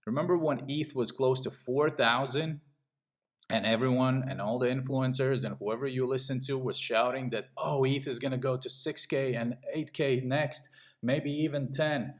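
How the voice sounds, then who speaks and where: A middle-aged man from Latvia giving a casual sales pitch while walking through trading charts. He talks in a pushy way and keeps checking in with "okay?". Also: fairly even intonation, normal speed. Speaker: trading channel host.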